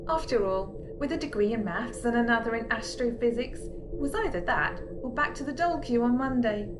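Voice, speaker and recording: A woman's voice: on the high side, expressive, moderate pace, natural voice. A woman playing a clever, unflappable young space traveller with a dry, matter-of-fact manner in amateur audio comedy. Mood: cool, wry. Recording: background noise behind the voice.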